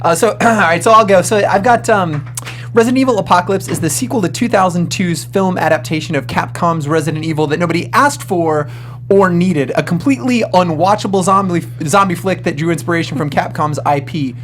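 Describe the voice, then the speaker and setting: A man's voice: sits high, fairly quick, fairly bright tone, slight background noise. A man co-hosting a panel show with casual, wry, joking banter. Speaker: co-host. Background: music.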